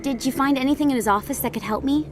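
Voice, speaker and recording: A woman playing a shrewd, businesslike female information dealer in a video game, speaking in a measured way. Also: high-pitched, background noise, lightly acted. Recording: game dialogue over loud music.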